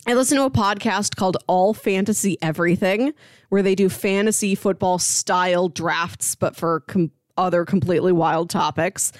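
A woman's voice: on the low side, moderate pace, dry recording, clean studio sound. A woman co-hosting a podcast, chatting casually about film in a conversational, storytelling way. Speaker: podcast co-host.

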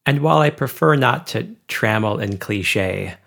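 A man's voice: on the low side, animated, unhurried, creaky, fairly bright tone. A man interviewing a teacher, asking questions in formal, elaborate wording.

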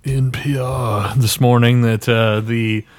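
A man's voice: mid-range voice, flat delivery, slow. A man co-hosting a podcast in casual chat, tossing in dry, wry interjections.

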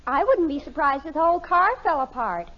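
A woman's voice: high voice, expressive, fairly quick. A woman playing a peeved, dramatic teenage daughter in a vintage radio sitcom, sulky and exaggerated. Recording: muffled old radio broadcast recording.

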